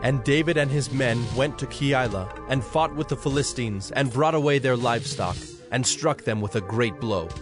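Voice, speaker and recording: Casting: male Bible narrator, mid-pitched, formal and measured, music bed underneath.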